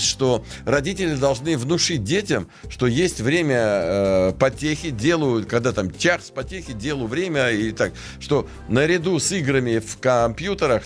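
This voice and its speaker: A man hosting a radio show, reading and answering listener messages in a deliberate, admonishing, haltingly phrased way. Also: mid-range voice. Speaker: radio host. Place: radio studio.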